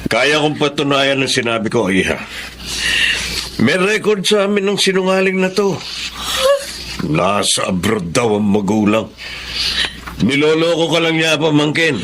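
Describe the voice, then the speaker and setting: An actor playing a frank, protective middle-aged uncle in a radio drama. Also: male voice, on the high side, big pitch swings, unhurried, pauses often, fairly bright tone, a little hiss or hum, heavily acted. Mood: stern, accusing. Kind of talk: Tagalog radio drama acting.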